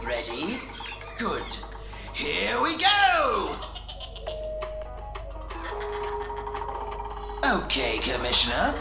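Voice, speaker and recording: Sadistic clown villain, wildly swooping pitch, slow showman delivery, mocking, creaky, radio drama, loud music behind. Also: wildly swooping pitch, heavily acted. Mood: gleeful cruelty.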